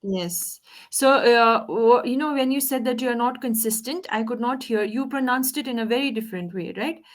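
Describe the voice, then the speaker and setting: A woman's voice: a little high, moderate pace. A woman tutoring English on a video call, patiently correcting pronunciation and asking the learner to repeat words. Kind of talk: online one-on-one English lesson.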